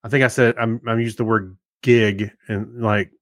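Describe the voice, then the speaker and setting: A middle-aged man co-hosting a tech podcast, telling stories in a halting, rambling way. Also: on the low side, fairly even intonation, no room sound, studio quality. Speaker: podcast co-host. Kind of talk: casual chat.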